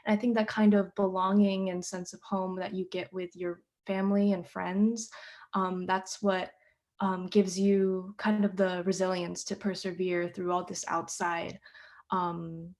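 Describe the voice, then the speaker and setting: A teenage girl, the playwright, talking thoughtfully and softly on a discussion panel, with frequent pauses. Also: fairly low pitch, vocal fry. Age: teens. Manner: soft, thoughtful, with frequent ums.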